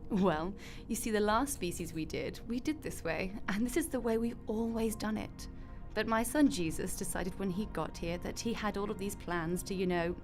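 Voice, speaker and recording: A woman's voice: medium pitch, a little mellow, close to an everyday voice. A woman acting in a radio drama as a breezy, glib God who runs the afterlife with chatty, flippant matter-of-factness.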